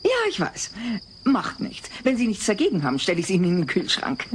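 A woman's voice: medium pitch, wildly swooping pitch, brisk. A woman playing a nosy, flirtatious and pushy neighbor, coy and insistent, in a dubbed sitcom audio play. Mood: eagerly friendly. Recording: noisy old cassette transfer.

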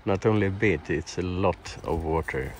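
A man from Sweden, a native Swedish speaker, chatting casually and haltingly as he vlogs a fishing and camping trip. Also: low-pitched. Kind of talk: casual chat to camera while fishing. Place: outdoors by lakes and a forest campsite. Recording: outdoor noise on camera mic.

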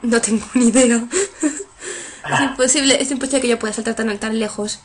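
A young woman from chats on a headset while she plays, reading and translating game lines on the fly with lots of fillers. Her voice sits a little high. A faint hiss of noise runs under it. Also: fairly even intonation, a little fast. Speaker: gameplay streamer. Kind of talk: playing and reading game dialogue aloud.

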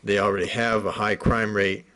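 A middle-aged man giving measured, matter-of-fact public comment at a hearing. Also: on the low side, little pitch movement, fairly bright tone. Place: large council chamber, indoors. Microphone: podium gooseneck microphone.

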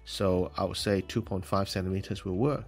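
A man with a low voice hosts a model-building tutorial. He explains each step plainly and calmly, pausing with ums as he works. Soft music plays faintly underneath. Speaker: host of a model-building show. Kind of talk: hobby tutorial voice-over.

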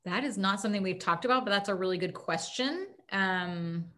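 A woman's voice: medium pitch, fairly quick, bright, forceful tone. A woman school principal answering parents' questions at a video-call town hall, informal and thinking aloud.

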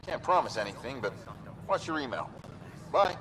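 A man's voice: mid-range voice, big pitch swings, normal speed, crowd noise, close to an everyday voice, rough recording. An actor playing an upbeat, chatty male hacker recruiting for his movement in a video game. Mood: friendly.

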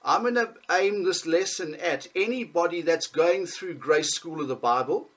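A man in his thirties with a Southern African accent, teaching Bible lessons over a video call, talking with many pauses and restarts. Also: on the high side, fairly bright tone. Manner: hesitant, many ums and restarts.

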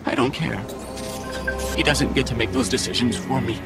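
An actor voicing a small, devoted robot companion in a video game, hurt and indignant, with an emotional, pleading delivery. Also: male voice, medium pitch, steady tone, moderate pace, over loud music, lightly acted. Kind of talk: dramatic video game dialogue.

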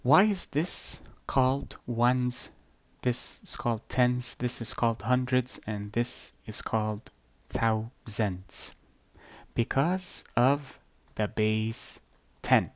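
A man whose first language is Arabic, explaining number systems slowly and deliberately over an on-screen drawing, with blunt asides. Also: mid-range voice, no echo. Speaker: math teacher. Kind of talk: screen-recorded whiteboard lesson.

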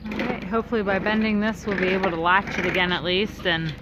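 A woman's voice: medium pitch, fast. A woman chatting casually to viewers as a farm vlogger, recorded outdoors on a farm with a phone. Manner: relaxed, chatty, addresses viewers directly. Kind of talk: casual vlog narration. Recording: lo-fi outdoor phone audio with loud background noise.

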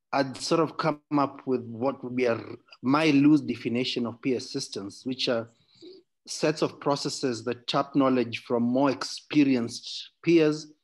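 A middle-aged man giving his view in an online discussion, with careful, deliberate and formal phrasing over a computer mic. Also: medium pitch. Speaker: workshop panelist. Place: indoor room with bookshelves, video call.

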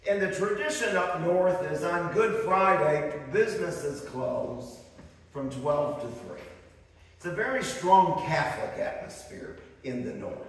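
A man's voice: fairly high pitch, animated, a little slow, vocal fry. A middle-aged man from the northern United States preaching a fervent sermon, with rising emphasis and storytelling asides. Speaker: pastor. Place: church sanctuary, indoors. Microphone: far camera, speaking at a lectern. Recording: echoey hall recorded from far off.

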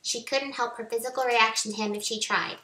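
A woman's voice: fairly high pitch, fairly even intonation, a little fast, bright, forceful tone. A middle-aged woman reading romance fiction aloud, her voice altered by helium, on a webcam in a home office. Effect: voice raised by helium. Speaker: author reading own novel. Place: small home office, indoors. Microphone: webcam at arm's length. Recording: roomy echo.

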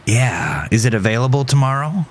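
Uneasy, courteous male patient for horror audio, man reading, hesitant, animated intonation. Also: mid-range voice, moderate pace, a little hiss or hum, pitched above the performer's own voice. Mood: unsure, polite. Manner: hesitant, stammering.

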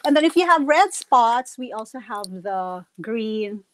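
A middle-aged woman with a Filipino accent and a slightly raspy voice, going through makeup products one by one on a video call. Her voice sits a little high for a woman and comes through earphones. Faint noise hums under it. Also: lively intonation, measured pace. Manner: shows products one by one to camera. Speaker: guest makeup presenter. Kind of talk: casual live video call chat. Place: home room with shelves. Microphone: earphone headset on video call.

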